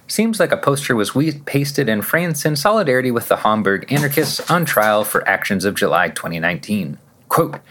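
A man reads podcast news from a script at a middling pitch. His intonation moves up and down a great deal, and his phrasing is measured and emphatic. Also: fairly bright tone. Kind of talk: scripted news reading with read-out quotations.

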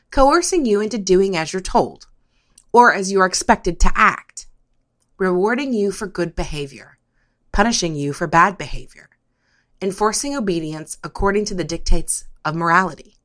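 A woman presenting a podcast, reading a scripted opinion piece in a measured, questioning manner. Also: mid-range voice, a bit bright.

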